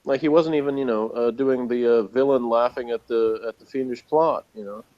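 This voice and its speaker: A man with a medium-pitched voice in a slightly dull, lo-fi recording. He hosts a relaxed review chat, reading from notes and adding his own chuckling remarks. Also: brisk. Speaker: review show host.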